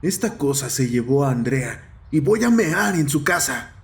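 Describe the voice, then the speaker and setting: A man's voice: mid-range voice, big pitch swings, normal speed, vocal fry, pitched above the performer's own voice. A man voicing a cheeky, defiant teenage boy in a horror audio story, talking brash slang. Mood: brash, teasing.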